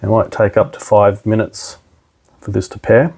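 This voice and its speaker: Low, creaky-voiced man giving a tutorial. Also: flat delivery, normal speed, a little mellow. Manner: informal, step-by-step instructing. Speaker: product trainer, presenter. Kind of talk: casual hands-on tutorial narration.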